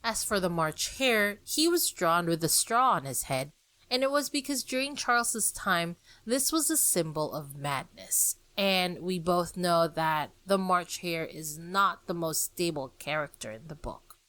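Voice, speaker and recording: Woman podcast host, lowish voice, chatty, friendly, dry close mic. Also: expressive, unhurried, bright, forceful tone, no echo. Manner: chatty, friendly, addresses listeners directly. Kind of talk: informal podcast talk on book history.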